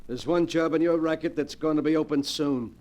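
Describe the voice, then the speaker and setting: A man's voice: on the high side, steady tone, brisk, a little mellow, lightly acted. Man acting a cocky, menacing 1940s radio gangster boss. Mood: cold menace. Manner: tough-talking gangster slang, domineering. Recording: old 1940s radio recording.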